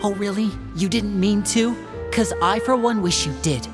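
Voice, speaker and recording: Earnest, protective young brother, over music. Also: male voice, high voice, moderate pace. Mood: earnest, wounded. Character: protective young man, composed orphan elder brother. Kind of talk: video game voice acting. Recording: studio voice over background music.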